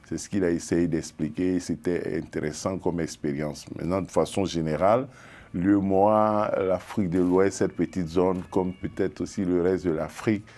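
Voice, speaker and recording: A man's voice: low-pitched, steady tone, moderate pace, mellow. A man from Côte d'Ivoire, a journalist guest on a TV panel, talking with frequent hesitations. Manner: hesitant, many fillers and restarts. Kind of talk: TV panel discussion.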